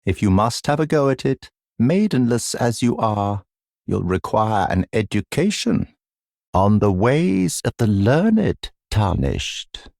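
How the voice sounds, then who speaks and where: Smug fantasy game mentor, low-pitched, creaky, mock-sympathetic, theatrical, sinister, condescending. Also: male voice, very animated, darkish tone, no echo, studio quality, exaggerated voice. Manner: mock-sympathetic, theatrical, drawn-out deliberate phrasing.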